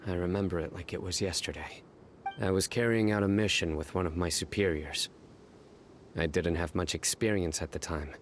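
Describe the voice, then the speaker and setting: An actor playing a grim, stoic young male investigator who speaks in terse, deadpan, measured sentences. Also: low-pitched, slight background noise, lightly acted. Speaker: stern law enforcer.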